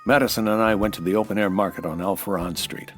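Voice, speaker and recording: Man acting a low-voiced hard-boiled American nightclub owner. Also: quick pace, faint music behind, lightly acted. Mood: wry narration. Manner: dry, deadpan. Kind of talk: 1940s radio noir acting, scripted narration.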